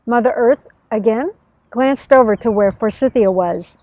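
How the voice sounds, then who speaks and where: High-voiced woman reading a children's story. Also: moderate pace, warm tone, no room sound. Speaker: host, storyteller and author. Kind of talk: read-aloud storytelling for children. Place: outdoors under a tree by a field.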